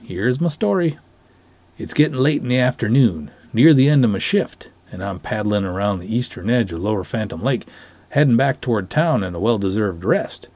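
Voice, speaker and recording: A man reads a story dramatically, with a formal, theatrical delivery. His voice is husky and moves widely in pitch, in a very dry recording. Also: lively intonation, fairly quick, a little mellow, dry recording. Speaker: podcast host reading a short story aloud. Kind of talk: dramatic reading of narrated dialogue.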